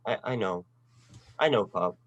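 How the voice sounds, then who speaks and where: A man playing an earnest, restless young man with big dreams in a radio play, speaking haltingly. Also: on the low side, animated, no echo, muffled recording. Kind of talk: 1940s-style radio play acting.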